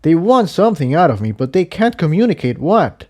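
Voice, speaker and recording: A man's voice: on the high side, sing-song, fairly quick, mellow, voice pushed up. A man voicing an earnest, cautious male pony in a story reading, explaining himself hesitantly. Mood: troubled, explaining.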